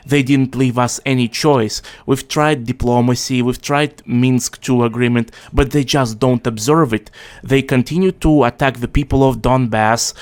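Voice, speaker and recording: Man, calm and steady. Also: medium pitch. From Russia. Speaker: channel host. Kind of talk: casual video chat.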